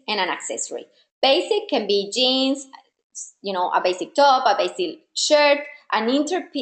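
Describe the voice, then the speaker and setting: A woman's voice: fairly high pitch, echoey room. A woman style coach whose first language is not English, giving an encouraging, direct masterclass to women. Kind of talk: live online masterclass.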